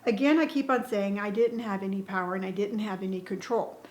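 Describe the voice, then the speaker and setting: A woman's voice: medium pitch, fairly even intonation, brisk, darkish tone, poor audio. A middle-aged woman talking earnestly to her viewers about taking back her power, emphatic, sighing now and then and restarting her words. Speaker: vlogger sharing life advice. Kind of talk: personal motivational talk to camera.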